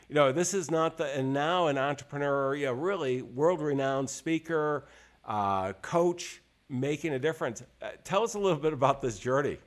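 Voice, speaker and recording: A man hosting a podcast over a video call, asking long, halting questions full of fillers and restarts. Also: medium pitch. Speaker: podcast host. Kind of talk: interview questions.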